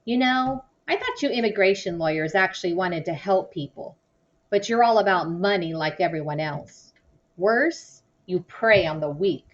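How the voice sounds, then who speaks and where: A woman's voice: lowish voice, expressive, moderate pace, far from the mic, muffled recording, natural voice. A woman voicing an indignant, protective man with a blunt, sarcastic manner in a children's novel read-aloud. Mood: bitter.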